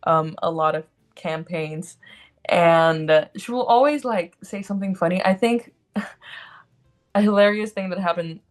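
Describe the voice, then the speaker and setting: A young woman from Brazil speaks with a light Brazilian accent in a relaxed interview. Her voice sits a little low for a woman, and she talks in loose, rambling sentences full of "like" and "um", laughing easily between thoughts. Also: measured pace, soft background music. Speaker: guest, actor. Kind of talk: casual interview chat.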